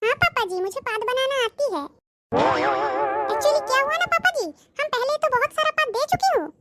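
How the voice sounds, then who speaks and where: A performer voicing a polite, earnest young daughter-in-law in a comedy cartoon, with a pitch-shifted voice. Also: lively intonation, a little fast, loud music behind the voice. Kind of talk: comedy cartoon skit dialogue.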